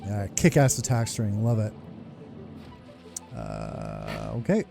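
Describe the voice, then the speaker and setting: A man giving casual gameplay commentary as a video host, excitable, sweary and informal. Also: on the low side, slow pace, gravelly. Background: game music.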